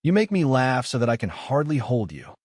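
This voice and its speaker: A man's voice: mid-range voice, big pitch swings, brisk, no room sound, clean studio sound, pitched above the performer's own voice. A man voicing a curious, kindly young girl, chatty and full of wonder, in a storybook reading. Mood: amused, chiding.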